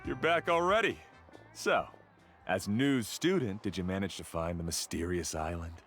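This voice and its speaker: A boastful, bright-voiced young rival. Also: male voice, deep voice, moderate pace, soft background music. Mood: mocking. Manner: boastful and mocking. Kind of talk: game voice acting.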